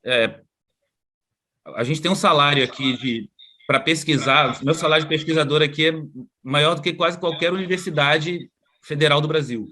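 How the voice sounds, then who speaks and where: Brazilian researcher guest, relaxed, slangy, chatty, heard over a video call. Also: male voice, fairly high pitch, moderate pace. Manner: chatty, slangy, full of tag questions. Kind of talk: casual video-call interview. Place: outdoors at home, moving inside.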